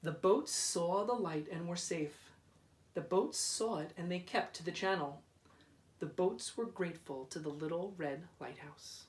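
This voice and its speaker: A man gives an expressive read-aloud of a children's story. His pace is very unhurried and deliberate, with drama in the phrasing. The sound is echoey and picked up from some distance. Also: a little high, slight background noise. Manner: deliberate, dramatic, drawn-out repeated words. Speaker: school principal reading aloud. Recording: roomy echo, distant microphone.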